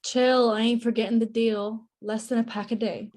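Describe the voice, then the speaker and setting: A woman's voice: a little high, little pitch movement, moderate pace, a little mellow, natural voice. A woman acting a blunt, unbothered twenty-five-year-old in a screenplay table read.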